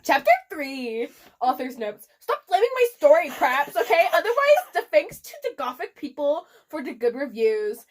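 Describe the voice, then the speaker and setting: A woman with a fairly high voice reads a story aloud for comic effect. Her delivery is theatrical and giggly, as a dramatic reading among friends. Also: big pitch swings, normal speed. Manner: giggly, theatrical, often breaks into laughter. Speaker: reader of a fan fiction.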